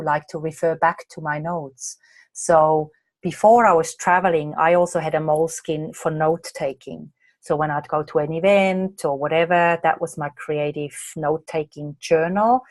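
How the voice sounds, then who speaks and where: Tired, slightly hoarse woman coach. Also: deep voice, steady tone, normal speed, dry recording. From Australia. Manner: chatty, reads out viewer comments and answers them. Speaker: coach and presenter. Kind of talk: live online masterclass Q&A.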